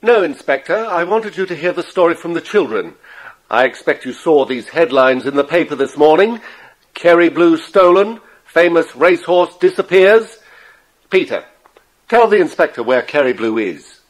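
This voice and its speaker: A man's voice: on the high side, animated, normal speed, natural voice, poor audio. An actor playing a respectable, kindly father in a children's audio drama, speaking courteously as he explains things. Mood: serious, assured.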